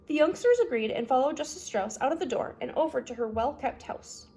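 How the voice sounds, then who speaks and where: High-voiced woman reading a storybook aloud. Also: animated, a little fast, vocal fry, a little mellow. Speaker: read-aloud storybook narrator. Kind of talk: reading a children's novel aloud.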